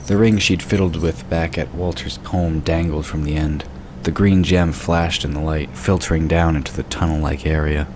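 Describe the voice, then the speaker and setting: A man's voice: steady tone, normal speed. A man giving scripted narration for a fantasy audio drama. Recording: clearly noisy, sound effects behind. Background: rumbling ambience and sound effects.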